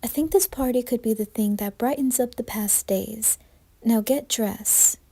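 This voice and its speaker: A woman voicing a gentle but firm suburban mother in a horror story reading. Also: on the high side, measured pace, slightly rough. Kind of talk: amateur creepypasta dramatized reading.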